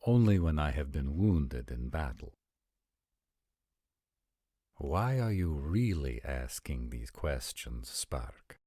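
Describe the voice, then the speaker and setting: Man playing a very deep, courtly vampire prince. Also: very animated, mellow, breathy edge, no room sound, clean studio sound, full character voice. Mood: intimate, probing. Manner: formal, deliberate, intimate phrasing. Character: courtly, measured male vampire prince, softly amused. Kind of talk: fantasy audio roleplay.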